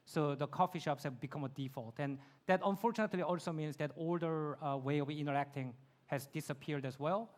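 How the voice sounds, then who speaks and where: A man's voice: fairly high pitch, a little fast, hardly any pauses. A man speaking English as a conference speaker, answering audience questions in a thoughtful, explanatory way; his first language is Korean.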